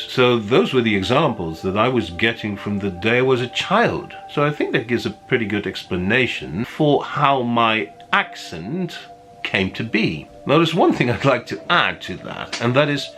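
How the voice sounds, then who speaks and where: Lively Dutch man with a British-leaning accent. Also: fairly low pitch. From Netherlands. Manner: earnest, conversational, explanatory. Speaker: video creator. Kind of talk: unscripted talking-to-camera monologue.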